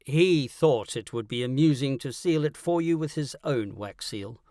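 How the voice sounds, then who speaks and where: A man's voice: mid-range voice, expressive, moderate pace, mellow, no echo, clean studio sound, close to an everyday voice. A man voicing a genial, money-minded male go-between in an audiobook, polite, chatty and persuasive. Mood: amused. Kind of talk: audiobook character acting.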